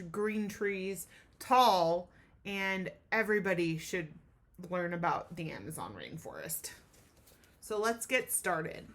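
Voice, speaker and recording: Expressive woman teacher reading aloud to children. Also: mid-range voice. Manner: enthusiastic, addresses children directly with questions. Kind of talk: expressive storybook read-aloud with teacherly asides.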